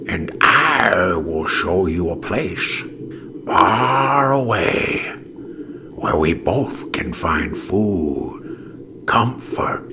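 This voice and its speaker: A weathered old humpback whale from a storybook, voiced by a man, wise and kindly. He speaks very slowly in a breathy, creaky voice, solemn and reassuring, over clear background noise. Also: on the low side, full character voice. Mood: inviting, solemn. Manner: calm, reassuring, slow and solemn. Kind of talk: children's storybook character voice.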